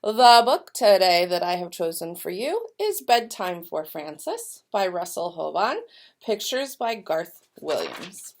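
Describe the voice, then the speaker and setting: Lady doing school announcements and storytime for little kids, really lively up and down voice, talks right to them. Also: fairly low pitch. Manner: expressive, addressing children directly, deliberate storytelling. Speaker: elementary school staff member giving announcements and read-alouds. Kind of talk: morning announcements and picture book read-aloud for young children.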